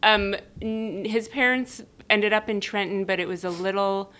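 A woman's voice: mid-range voice, fairly even intonation, normal speed, fairly bright tone. A woman born in Denmark and raised in California, a guest on a podcast who talks casually and animatedly, rambling with many fillers. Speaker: guest, activist and performance artist. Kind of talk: casual conversational interview.

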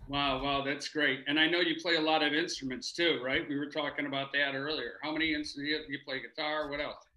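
A man's voice: fairly high pitch, little pitch movement, a little fast, pushed and bright. A man hosting a casual interview, affirming answers with repeated words and asking loose questions. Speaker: podcast host. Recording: echoey room.

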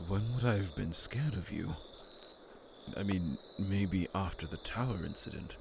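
Man acting low, gravelly, weary younger brother. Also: measured pace, a little mellow. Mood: reassuring. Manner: weary, sighing, mildly stubborn. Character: weary, forgiving younger brother, fantasy adventurer. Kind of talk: fantasy audio drama acting. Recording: noisy track with cricket ambience.

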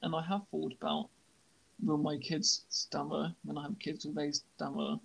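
A young man who stammers, hosting a podcast and speaking in a halting, reflective way. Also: a little high, very animated, creaky, fairly bright tone, breathy edge, rough recording. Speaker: podcast host. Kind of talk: podcast conversation.

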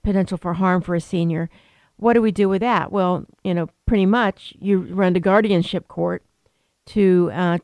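A woman's voice: fairly low pitch, darkish tone, clean tone, no room sound. A woman attorney explaining elder law on a radio talk show, rambling with many fillers and restarts. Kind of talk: radio interview.

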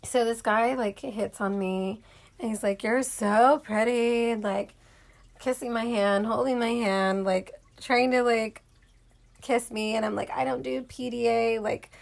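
Woman, 30s, co-host, casual storyteller, fry in the voice. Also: on the high side, steady tone, a little slow. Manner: chatty storyteller, lots of "like". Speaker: podcast co-host. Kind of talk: casual chat.

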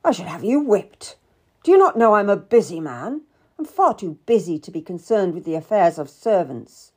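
A woman acts out a Tudor nobleman from a historical story. He is curt and calculating, talking down to those below him. The delivery is animated, with wide pitch movement. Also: measured pace, heavily acted. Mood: curt, impatient. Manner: brusque, condescending. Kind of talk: dramatised audiobook character.

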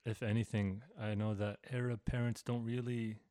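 A man's voice: fairly low pitch, little pitch movement, moderate pace, warm tone, smooth, no echo, studio quality. A young man hosting a casual podcast interview, asking long reflective questions. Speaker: podcast host.

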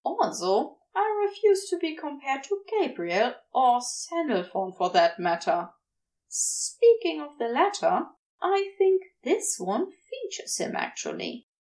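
A prim, bashful male angel who keeps a bookshop , acted out by a woman. The voice sits high and swings widely in pitch, with slow, wordy, flustered phrasing, as in an audio story. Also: sing-song, a little mellow, heavily acted, rough recording. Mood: indignant, prim. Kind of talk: dialogue in a fan fiction audio reading.

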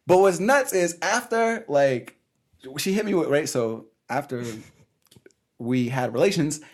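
A young man in his late twenties spins a story in loose, slangy chat, laughing as he goes. His voice is a bit higher than most men's and swings up and down a lot. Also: measured pace. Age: 20s. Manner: animated, slangy, laughs through his stories. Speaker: podcast co-host.

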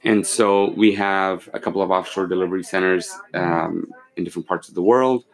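Deep-voiced man in his forties, measured. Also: fairly even intonation, gravelly, rough recording. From born in Pakistan, raised in London and Kuwait. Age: 40s. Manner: measured, with many fillers and restarts. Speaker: company CEO, podcast guest. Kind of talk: casual interview, explaining business.

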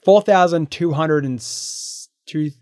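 A man's voice: on the high side, darkish tone, no echo, clean studio sound. Chatty man, laughing co-host. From Australia. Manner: chatty, laughs often, quick asides. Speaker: podcast co-host. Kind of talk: casual chat.

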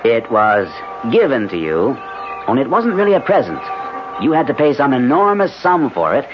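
An actor in a 1970s radio drama playing a sceptical, teasing husband with a bantering, exasperated manner. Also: male voice, mid-range voice, wildly swooping pitch, moderate pace. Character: sceptical, teasing husband back from a business trip. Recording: dull noisy 1970s radio broadcast.

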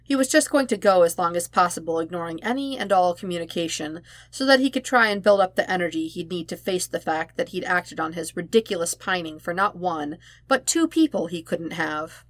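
Low-voiced woman narrating a story, close and dry. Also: no room sound. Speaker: podfic narrator. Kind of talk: expressive audiobook-style fan fiction narration.

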